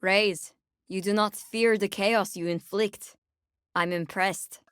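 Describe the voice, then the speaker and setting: An actress playing a brooding, sleepless agent who hunts nightmares in a video game, speaking in an ominous, measured, wry way. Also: female voice, mid-range voice, steady tone, a bit bright, slight background noise. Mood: wry, knowing. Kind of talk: video game character voice lines.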